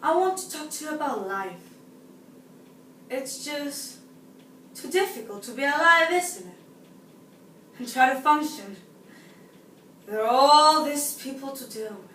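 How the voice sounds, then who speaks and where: A seventeen-year-old woman from Nicaragua playing a frustrated, self-absorbed, unhinged woman in a dramatic, confiding stage monologue that swings between hushed and shouted, recorded in a roomy space at a distance. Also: high voice, animated, measured pace, long pauses, heavily acted. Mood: confiding despair. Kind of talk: theatrical audition-style stage monologue. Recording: roomy, distant mic, faint noise.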